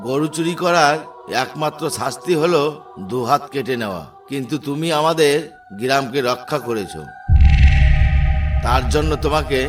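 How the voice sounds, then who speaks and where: Cartoon village headman, stern yet fair, commanding and deliberate, slightly high male voice acted by a man, music behind. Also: recorded from a distance, natural voice. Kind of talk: Bengali cartoon dubbing.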